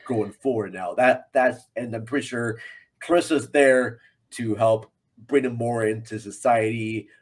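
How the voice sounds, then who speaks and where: A man's voice: medium pitch, steady tone, unhurried. A man guesting on a film podcast, chatting casually in a rambling, joking manner. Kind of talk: casual chat about a film.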